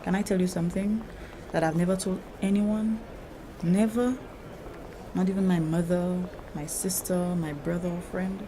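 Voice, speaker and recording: A woman's voice: fairly low pitch, normal speed, lightly acted. A woman acting as a hurt, troubled grown daughter in a drama, speaking earnestly and confidingly about feeling trapped. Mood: anguished. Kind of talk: dramatic acted dialogue. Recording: noisy location sound with steady ambience.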